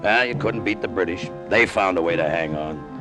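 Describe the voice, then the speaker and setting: A man's voice: sits low, moderate pace, natural voice. An actor playing a cynical, down-on-his-luck American pilot in 1950s radio drama, with sardonic, slangy delivery. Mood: world-weary. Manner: sardonic, slangy, world-weary. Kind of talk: 1950s radio drama acting, first-person narration. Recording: vintage radio drama with music behind.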